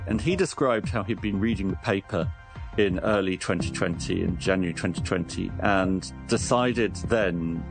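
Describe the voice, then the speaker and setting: A man reporter explaining a science story in a conversational, storytelling way. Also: on the low side. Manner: lively storytelling with restarts.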